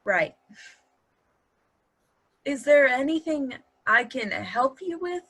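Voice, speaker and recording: Dry-witted, loyal, blunt woman played by a female actor, pointed delivery, high, forceful, slightly husky voice. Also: expressive, takes it slowly, heavily acted. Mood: politely probing. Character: blunt, dry-witted woman fiercely defending her partner. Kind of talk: virtual table read of a stage comedy-drama.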